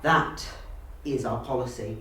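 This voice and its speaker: A man performing a grave wartime statesman, delivering a slow, emphatic, declamatory speech of defiance. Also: mid-range voice, steady tone, bright, forceful tone, pitched below the performer's own voice. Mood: defiant, solemn. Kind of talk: slow dramatic oratory. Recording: very echoey room, distant mic.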